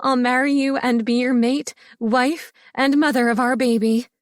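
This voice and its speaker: A woman voicing a young adult heroine, excitable and in love, speaking in a bright, breathless way. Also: high voice, normal speed, no room sound, clean studio sound, pitched above the performer's own voice, natural voice. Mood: excited.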